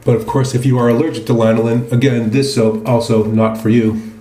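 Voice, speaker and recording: Male vlogger, medium pitch, casual unhurried review talk, roomy echo. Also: level tone, mellow. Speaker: presenter of a wet shaving video. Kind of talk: casual product review chat while shaving. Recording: roomy, echoing indoor sound.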